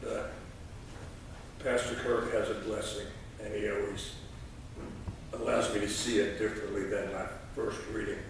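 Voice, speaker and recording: A man in his sixties speaking at a church lectern as a lay reader, in a folksy, halting way with frequent pauses. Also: on the low side, flat delivery. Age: 60s. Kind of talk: informal church address and scripture reading. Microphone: distant camera microphone. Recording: echoey church, distant mic, noisy.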